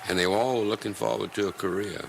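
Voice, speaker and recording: A man's voice: fairly low pitch, fairly even intonation, quick pace, vocal fry, heavy background noise. An older man reminiscing in a recorded interview.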